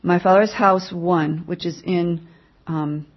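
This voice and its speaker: A woman giving a mission update at church, reading a written report aloud to the congregation in a plain, measured way. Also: on the low side, steady tone, dull audio.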